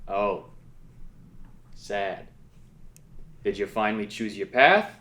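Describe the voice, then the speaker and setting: A man voicing a lazy, rude male aardvark spirit guide at a table read, loud and drawling. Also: lowish voice, expressive, takes it slowly, roomy sound. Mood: mocking.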